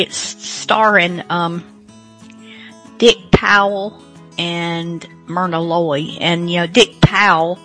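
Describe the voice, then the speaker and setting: A woman's voice: fairly low pitch, fairly bright tone. A woman hosting a show, introducing things casually in a hesitant, folksy, rambling way. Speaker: podcast host. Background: faint guitar music.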